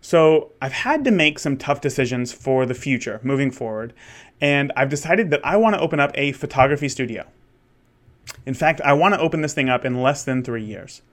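A man's voice: mid-range voice, expressive, normal speed, a bit bright. A man of thirty, a wedding photographer, talking candidly in a rambling solo monologue with many restarts. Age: 30s. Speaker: wedding photographer and podcast host.

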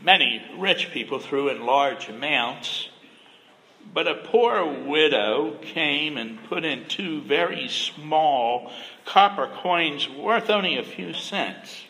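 A middle-aged man preaching a sermon as a pastor, soft-spoken, gentle and measured. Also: on the high side, lively intonation, gravelly, long reverb tail, rough recording. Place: church sanctuary.

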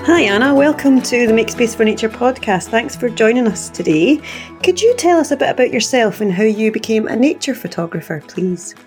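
A woman co-hosting a nature podcast, reading a clear, friendly introduction and asking the guest her first question. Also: a little high, lively intonation, brisk, a little mellow. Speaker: podcast co-host. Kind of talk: scripted intro and interview questions. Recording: music bed under the voice.

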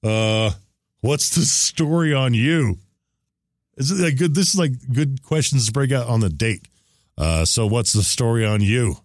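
Man, mid-pitched voice, show host, casual commentary, conversational, dry close sound. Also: animated, a little slow, no room sound. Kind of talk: casual commentary on an archive tape.

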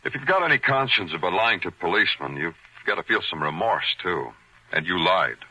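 An actor playing a sardonic police detective in 1940s radio noir, with clipped, wry, needling delivery. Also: male voice, sits low, lively intonation, a little fast, bright, forceful tone, no echo, heavily acted, low-quality recording. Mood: dry, accusing. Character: hard-boiled, sardonic male police detective. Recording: old, rough-sounding radio drama recording.

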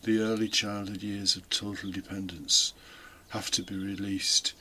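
A British man delivers a homily in a fairly low, bright voice. He explains in a reflective, conversational way, dropping in informal asides. There is faint noise behind him. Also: flat delivery, measured pace. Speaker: homily podcast host, deacon. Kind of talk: informal homily, partly scripted.

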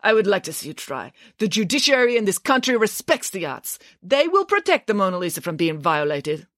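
A woman's voice: medium pitch, big pitch swings, brisk, no echo, studio quality, voice pushed up, close to an everyday voice. A woman voicing a pompous, indignant French male museum director in a melodramatic, sarcastic manner for a story. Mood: scornful.

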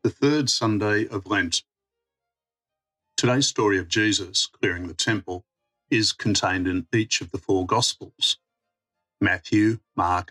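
A man delivers a scripted scripture reflection. His voice sits fairly low and has a husky grain to it, with faint music underneath. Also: sharp tone, poor audio. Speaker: church mission worker giving a reflection.

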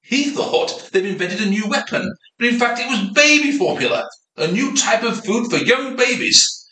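An amateur actor playing an eager, deferential helper ghost in a community radio play, recorded in an echoey room. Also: male voice, extremely high pitch, lively intonation, moderate pace, bright, forceful tone, recorded from a distance, heavily acted. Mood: explaining. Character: eager helpful male ghost sidekick.

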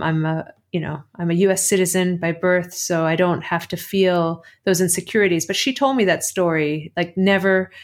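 A middle-aged woman with a slightly low voice and an American accent. She shares reflective personal stories as an interview guest, conversational and often rephrasing as she thinks aloud. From Athens, Greece.

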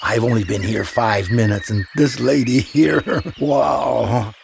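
An actor playing a grumbling, wary old widower in an audio drama, with a hesitant way of talking. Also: male voice, medium pitch, steady tone, slow pace, creaky, a little hiss or hum. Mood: wary, curious. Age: older.

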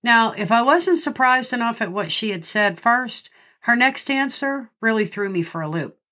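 A middle-aged woman hosting her podcast, a little nasal and congested from a cold, talking in a friendly way and reading out lists with pauses between the items. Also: fairly high pitch, animated, sharp tone. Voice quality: slightly nasal. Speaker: podcast host. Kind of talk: scripted podcast monologue.

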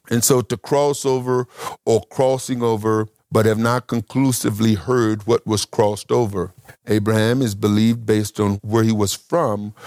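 A male preacher working through a Bible question in front of his listeners, speaking in a slow, unhurried way. He stops often and restarts in short phrases, as if thinking aloud. The sound is close and dry, with no room around it. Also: on the low side, darkish tone, no echo. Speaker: preacher teaching a congregation. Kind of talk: Bible teaching talk.

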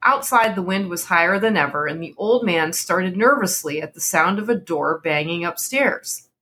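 A woman with a bright, slightly low voice narrates in a dramatic reading style. She delivers scripted horror fiction as a storyteller would, line by line to the listener. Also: lively intonation, moderate pace. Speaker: podcast host reading a story aloud.

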